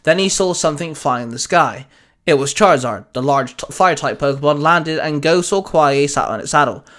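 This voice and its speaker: Higher-pitched man reading a story. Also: fairly quick, fairly bright tone. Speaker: storyteller, fan fiction reader. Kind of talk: scripted story narration.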